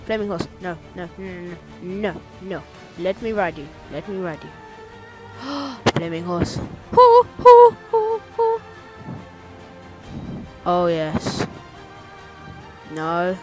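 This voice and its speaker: A man giving casual, rambling let's-play commentary, playful and easily excited. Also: high voice, slow, pauses often, rough recording. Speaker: gameplay commentator. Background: game music.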